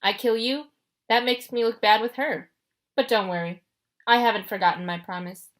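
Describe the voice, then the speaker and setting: A woman voicing a bullying male god of war in a storybook reading, gruff and taunting. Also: fairly high pitch, normal speed, a bit bright, close to an everyday voice. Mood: menacing.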